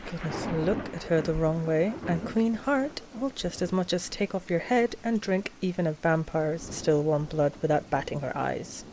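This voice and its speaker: A woman playing an anxious, tender vampire noblewoman in an ASMR roleplay, speaking softly and hesitantly with sighs. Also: sits low, animated, moderate pace, hardly any pauses, noisy background. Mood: worried, tender. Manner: soft, hesitant, sighing.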